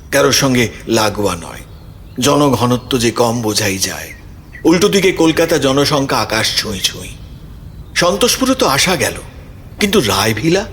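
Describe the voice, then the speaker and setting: A man's voice: medium pitch, fairly bright tone, a little hiss or hum. A man reading scripted narration for a suspense audio story as its narrator. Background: background music.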